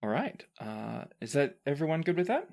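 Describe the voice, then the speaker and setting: A woman hosts a casual podcast Q&A as game master. Her pitch moves around a lot as she moderates in an informal, conversational way. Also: very animated, moderate pace, vocal fry, a little mellow.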